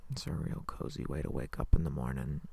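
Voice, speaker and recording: A man playing a sleepy, affectionate partner the morning after a hookup in an intimate ASMR roleplay, murmuring hesitantly and tenderly in a breathy, gravelly voice close to the mic. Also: a bass voice, big pitch swings, fast talker, a little mellow, no echo, full character voice.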